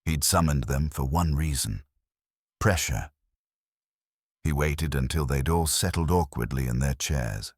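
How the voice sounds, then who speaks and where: A man narrating a scripted detective story as an audiobook, in a measured, theatrical noir manner. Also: very deep voice, husky, dry recording, clean studio sound.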